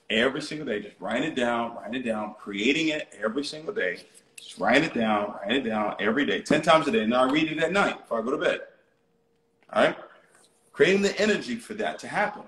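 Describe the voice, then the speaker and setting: A man's voice: medium pitch, a bit bright, a little hiss or hum. A man hosting a live online motivational talk, repeating key phrases, asking rhetorical questions and checking in with his listeners often. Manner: repetitive, rhetorical questions, frequent "all right?" check-ins. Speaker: motivational speaker, live stream host. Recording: roomy, echoey sound.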